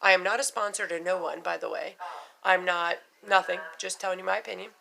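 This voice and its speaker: A woman's voice: lowish voice, steady tone, a little fast, gravelly, pushed and bright. A middle-aged woman talking casually and frankly in a vlog, chatty, swearing now and then and full of "you know" fillers. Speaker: vlogger sharing denture experiences. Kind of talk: casual unscripted vlog talk.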